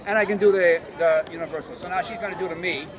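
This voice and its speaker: A man's voice: sits high, fairly even intonation, quick pace, a crowd in the background. A man teaching self-defense as an instructor, informally talking through each move outdoors. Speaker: martial arts instructor. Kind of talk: hands-on self-defense demonstration. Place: outdoors on public concrete memorial steps. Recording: outdoor city noise, people around.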